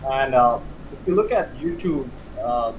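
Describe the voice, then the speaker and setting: A man's voice: mid-range voice, a little slow. A man in his thirties with a Pakistani accent, explaining things as an interview guest and often rephrasing himself. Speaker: interview guest, cigar hobbyist. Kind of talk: casual interview chat. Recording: clear steady background noise.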